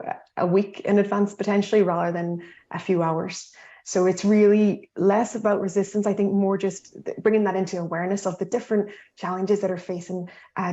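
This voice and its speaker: A woman's voice: fairly low pitch, mellow, highs cut off. A woman on a panel answering questions in an earnest way, with many restarts and fillers.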